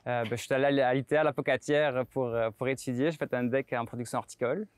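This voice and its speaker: A man's voice: mid-range voice, fairly even intonation, fast, smooth, no room sound. A man from France, a market gardener, telling his story casually in an interview, loose with fillers.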